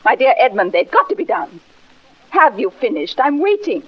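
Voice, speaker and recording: An actress playing an imperious, fussy older wife who scolds and gives orders, in 1940s radio drama style. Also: female voice, a little high, very animated, moderate pace, slightly rough. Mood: bossy, nagging. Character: bossy, nagging older wife, a battle-axe. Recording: dull 1940s radio recording, music behind.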